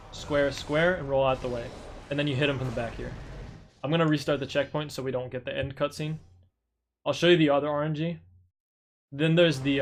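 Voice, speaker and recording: A man explaining a gameplay speedrun tutorial in a casual, rambling way, his voice tired. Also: a little high. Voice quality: chatty, rambling, self-correcting. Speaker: speedrunner streamer.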